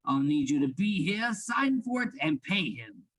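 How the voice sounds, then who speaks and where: An actor playing a stern, secretive anatomy lecturer in a radio play, formal and instructing. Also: male voice, on the high side, very animated, a little slow, fairly bright tone, full character voice. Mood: grave, secretive.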